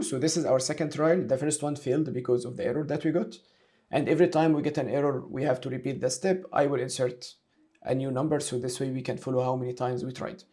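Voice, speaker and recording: A male instructor narrates a software walkthrough in a methodical, teacherly way. His voice sits a little high for a man, yet the tone is very mellow and relaxed. He explains the procedure one step at a time. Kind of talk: step-by-step tutorial narration of a screen demonstration.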